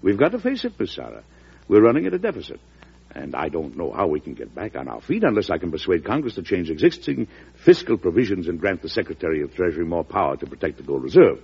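An actor playing a resolute, worried U.S. President in an emphatic, oratorical old-time radio drama style. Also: male voice, low voice, wildly swooping pitch, fast, creaky, breathy edge, full character voice. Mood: grave, concerned. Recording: muffled old radio recording.

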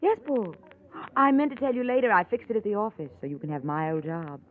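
A woman playing a sharp, scheming newspaper columnist, haughty and cutting, in an old radio drama. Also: medium pitch, fairly quick, lightly acted. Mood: cool, triumphant. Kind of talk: 1940s-50s radio drama acting. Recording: old, narrow-band radio recording.

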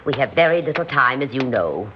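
An actress playing a commanding elderly hostess and conspirator in an old radio drama, speaking with deliberate, gracious authority. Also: female voice, very deep voice, very animated. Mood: brisk, commanding. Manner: imperious, deliberate, theatrically gracious. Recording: muffled, noisy old radio recording.

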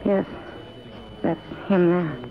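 A woman's voice: on the low side, fairly even intonation, slow pace, creaky. An actress playing an anxious woman crime victim, speaking hesitantly in an old radio crime drama. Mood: nervous. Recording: tinny noisy old radio broadcast.

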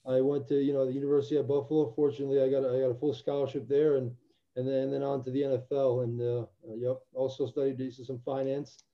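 A man's voice: mid-range voice, level tone, fast talker. Young man from Albania, earnest interview guest; muffled remote audio. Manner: earnest, reflective, many restarts. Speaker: interview guest, former football player. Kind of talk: casual interview.

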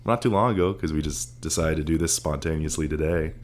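A man co-hosting a casual podcast in an unusually deep voice, laughing often and swearing casually. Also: quick pace. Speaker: podcast co-host.

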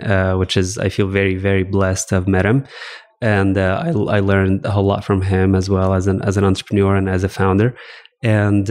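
Middle-aged male founder from Egypt; low, even-toned, easygoing, chuckles between lines. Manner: laughs often, fillers like "uh". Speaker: startup founder, guest. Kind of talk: casual interview talk. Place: office coworking space.

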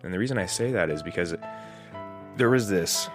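Low-voiced man with a cold, musing aloud. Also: animated, brisk, music in the background. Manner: rambling, reflective, many restarts and fillers. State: getting over a cold. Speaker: podcast host. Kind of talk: solo podcast monologue.

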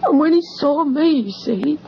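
An actress playing a distraught, loyal old English housekeeper in a radio horror drama, tearful and faltering, on an old recording. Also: female voice, high voice, expressive, unhurried, dull audio, a little hiss or hum. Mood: tearful, regretful. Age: older. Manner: tearful, faltering, repeating herself.

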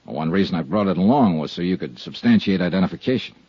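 An American actor playing a brisk, dryly skeptical insurance investigator in a 1950s radio drama, clipped and matter-of-fact. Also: male voice, deep voice, expressive, warm tone, slightly rough, dry recording, exaggerated voice. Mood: probing, matter-of-fact. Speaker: lead actor in a radio detective serial. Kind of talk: 1950s radio drama acting and first-person narration. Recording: dull 1950s radio recording with music.

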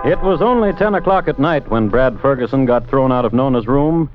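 A man narrating a scripted old-time radio crime drama in a wry, deliberate manner. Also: mid-range voice, animated, natural voice. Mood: wry, measured. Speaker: narrator and host of a crime series. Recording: dull old radio recording. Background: music.

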